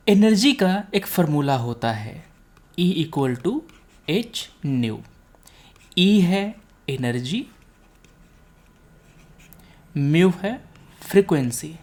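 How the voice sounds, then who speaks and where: Low-voiced Indian woman teaching, unhurried and animated. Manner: didactic, repeats key points. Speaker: teacher, exam coaching instructor. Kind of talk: explanatory lecture with check-in questions.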